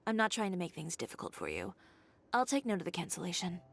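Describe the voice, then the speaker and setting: A woman's voice: on the low side, huge pitch swings, fast, gravelly, fairly bright tone, slight background noise, exaggerated voice. A woman playing a poised young fashion designer in a video game, composed, frank and businesslike. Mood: calm, professional.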